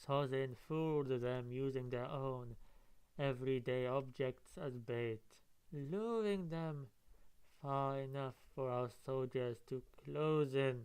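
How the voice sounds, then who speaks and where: Man putting on a slow, gloating military villain. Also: mid-range voice, darkish tone, clean tone, low-quality recording. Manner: slow, menacing, lecturing. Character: cold, gloating male military admiral villain.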